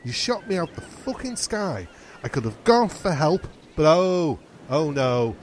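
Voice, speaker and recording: Guy acting a whiny dramatic castaway comedian, sweary, voice all over the place, bit high, radio comedy. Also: sing-song, unhurried, slight background noise. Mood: ranting, anguished. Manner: theatrical, exclaiming. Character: stranded castaway man, failed comedian, melodramatic, foul-mouthed, self-pitying.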